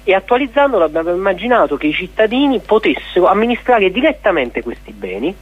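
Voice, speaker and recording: A man's voice: sits high, big pitch swings, fast talker. A man explaining things articulately and argumentatively in a radio interview. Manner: articulate, argumentative, rhetorical questions. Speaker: interviewee, activist of an occupied cultural centre. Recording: dull lo-fi radio sound.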